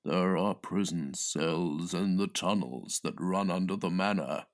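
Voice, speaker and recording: Man acting a low, hushed, confiding sidekick. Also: a little slow. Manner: hushed, confidential, matter-of-fact. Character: discreet, earnest, confiding male gang member. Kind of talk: comic audiobook character reading.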